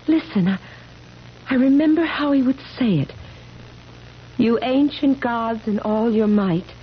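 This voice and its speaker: An anguished, high-voiced immortal girl. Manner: pleading, dramatic. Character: anguished immortal young woman weary of endless life. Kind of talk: 1970s radio drama acting. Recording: muffled, noisy 1970s radio recording.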